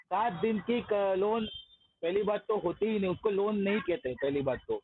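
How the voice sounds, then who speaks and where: A thin phone-line recording with faint noise carries a high-pitched male voice. He argues assertively and boastfully, challenging the other party with total certainty. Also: normal speed. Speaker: activist confronting a loan agent. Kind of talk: argumentative phone conversation.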